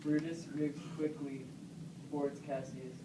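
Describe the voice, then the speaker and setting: A man's voice: a little high, level tone, unhurried. A man narrating a student puppet play, reading out cast names and stage directions. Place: classroom, voice from behind a puppet stage. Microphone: far camera. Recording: echoey classroom, distant mic, clear noise.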